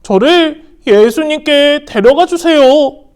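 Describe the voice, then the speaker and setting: A desperate blind beggar man, pitched high above a normal speaking voice, calling out slowly and loudly, as a woman storyteller puts him on. He stretches his words into long pleading cries in a storybook telling. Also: expressive. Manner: loud, drawn-out pleading cries.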